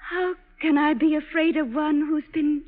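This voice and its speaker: Demure, polite young lady played by an actress, very high girlish voice, dull 1940s radio broadcast. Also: level tone, normal speed, close to an everyday voice. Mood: flustered, demure. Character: sweet, demure, flustered young woman. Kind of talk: 1940s radio drama acting. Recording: muffled old radio broadcast.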